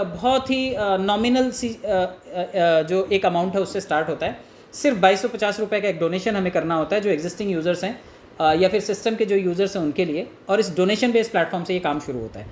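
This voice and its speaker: An echoey room with faint background noise surrounds a man from India as he coaches on selling. He explains things earnestly and informally, often posing rhetorical questions and voicing sample phone pitches. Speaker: network marketing trainer. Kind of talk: informal sales coaching with role-played call examples.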